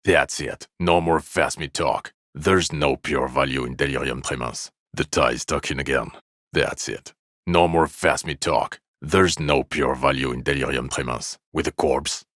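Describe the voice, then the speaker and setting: An actor playing a jaded, sarcastic, irritable police officer in a video game, gravelly and deadpan, with weary scorn. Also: male voice, a bass voice, measured pace, no echo, studio quality. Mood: cold, dismissive. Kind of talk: video game character dialogue lines.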